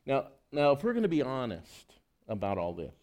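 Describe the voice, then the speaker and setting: A man's voice: medium pitch, wildly swooping pitch, normal speed, darkish tone. A male pastor giving a conversational sermon, reading scripture and asking the congregation rhetorical questions.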